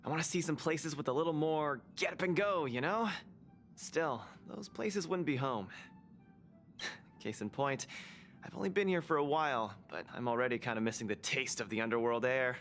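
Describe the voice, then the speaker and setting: A man's voice: medium pitch, normal speed, fairly bright tone, lightly acted. A man voicing an earnest, friendly young fighter in a video game, full of wide-eyed wonder. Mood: wistful, friendly. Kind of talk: video game voice acting, conversational dialogue. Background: music.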